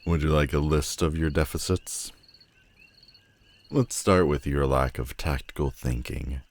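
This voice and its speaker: Man acting a very deep-voiced sardonic supervillain. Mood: mocking. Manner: mocking, slow deliberate delivery with dramatic pauses. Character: sardonic, menacing male telepathic supervillain, world-weary and theatrical. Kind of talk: scripted audio roleplay addressed to the listener.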